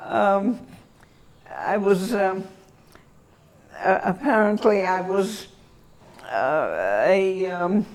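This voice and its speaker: An elderly woman from Antwerp whose first language is German, reminiscing in an interview and searching for her words with long pauses. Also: medium pitch, lively intonation, a little mellow. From Antwerp, Belgium.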